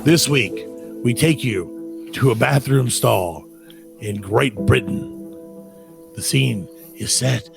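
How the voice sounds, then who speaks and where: Gravelly-voiced man hosting a comedy podcast. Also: medium pitch, slow, pauses often, over music. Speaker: podcast host. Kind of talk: casual comedy podcast chat.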